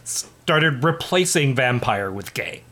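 A man in a casual film-discussion chat, throwing in quick, giggly quips. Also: mid-range voice, animated, bright, forceful tone.

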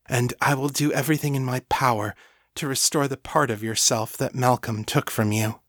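Kind but commanding mistress for fantasy audiobook, read by a man: protective, steady resolve, medium register. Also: mid-range voice, moderate pace, no room sound, clean studio sound, close to an everyday voice. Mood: tearful, tender. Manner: firm, compassionate. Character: Miriam, kind, firm, protective mistress, woman.

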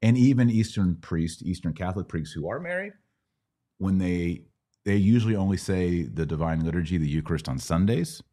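A man with a low voice hosts a casual live Q&A. He explains things in a didactic way, step by step, posing questions and offering everyday analogies as he goes. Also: expressive, moderate pace, warm tone. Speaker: podcast host answering Bible questions.

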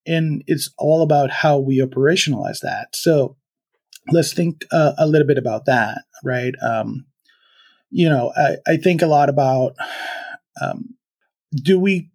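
A man from Venezuela speaking as a podcast guest, thoughtful and halting, with many fillers and restarts. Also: medium pitch, a little mellow, breathy edge. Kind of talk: reflective conversational interview.

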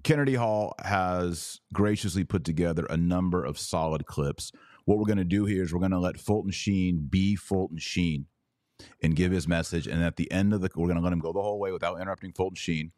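Male podcast host, low-pitched, casual and conversational, close dry mic. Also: fairly quick, a little mellow, dry recording.

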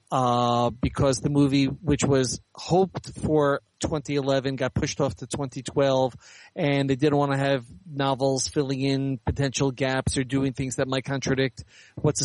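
A man's voice: medium pitch, darkish tone, dry recording, poor audio. A middle-aged or older man answering interview questions in a candid, articulate, explanatory way. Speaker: interview guest, science fiction author and editor.

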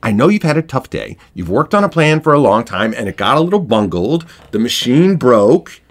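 A mellow musician who stays calm and encourages others. His speech is laid-back and soothing, with a lively, swooping pitch. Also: normal speed. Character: calm, easygoing male musician friend. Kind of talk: audio drama acting.

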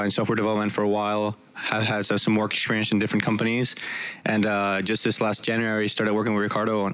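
A young man from Puerto Rico talks very quickly as an interview guest. His voice is fairly low and the recording is close and dry. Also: a bit bright, dry recording, rough recording. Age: young adult. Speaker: guest, startup co-founder. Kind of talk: conversational interview explanation.

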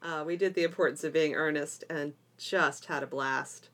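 Chatty woman podcast host, slightly low voice. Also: steady tone, moderate pace, a bit bright. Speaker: podcast host and homeschool teacher. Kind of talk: casual educational podcast chat.

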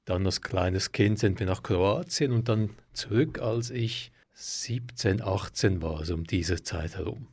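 Man from Croatia, interview guest, lower voice, fluent and composed. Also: measured pace, few pauses, husky. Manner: calm, fluent and articulate. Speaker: guest, political scientist. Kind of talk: radio interview.

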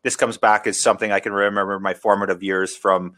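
Guy hosting an interview, lowish voice with a bright edge, lots of "you know"s. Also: flat delivery, fast. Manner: rambling questions full of "you know". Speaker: podcast host interviewer. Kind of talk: casual interview questions.

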